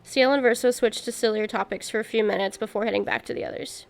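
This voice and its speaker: Female game streamer, slightly high voice, noticeable fry, playful commentary, faint music behind. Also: steady tone, quick pace. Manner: playful, casual asides, giggly. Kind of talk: playthrough commentary, reading narration text aloud.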